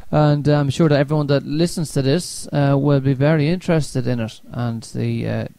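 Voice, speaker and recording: A man's voice: mid-range voice, steady tone, moderate pace, creaky, mellow, no room sound. A man hosting an interview, encouraging his guest and asking questions. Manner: encouraging, frequent murmured agreement. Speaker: podcast host. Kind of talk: interview, mostly listening.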